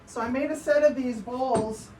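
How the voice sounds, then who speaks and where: A woman's voice: sits high, normal speed, dark tone, rough recording. A woman with a Canadian accent doing a casual live show-and-tell as a potter, chatty and rambling, often breaking off mid-sentence. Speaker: potter hosting a livestream. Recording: noticeable background noise.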